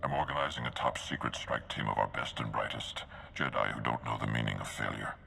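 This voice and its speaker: An extremely deep, heavily gravelly male Jedi master. He is commanding and idealistic in a forceful game-cutscene delivery, over a faint rumble. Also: fairly even intonation, fairly quick, in a large hall, faint music behind, full character voice. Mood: confident, grand. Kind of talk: video game cutscene acting.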